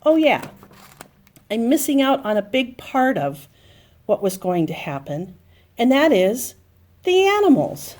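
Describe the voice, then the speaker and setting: A woman telling a Bible story to young children, explaining in simple phrases with dramatic pauses and emphasis. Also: a little high, very animated, darkish tone.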